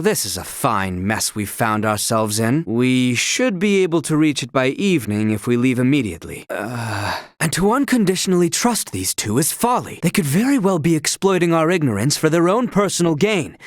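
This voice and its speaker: An earnest young knight guard. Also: male voice, fairly high pitch, very animated, normal speed, dry recording, clean studio sound. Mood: stern. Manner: formal, old-fashioned speech; dutiful and protective. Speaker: knight protecting a princess. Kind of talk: video game voice acting.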